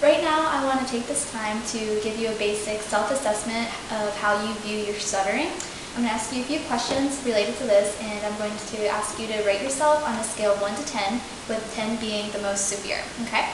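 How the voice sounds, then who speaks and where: A young woman acting as a student clinician, asking intake questions in a measured way with frequent "okay"s. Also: a little high. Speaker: student clinician interviewing a client. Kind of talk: scripted clinical interview. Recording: echoey room with steady hiss.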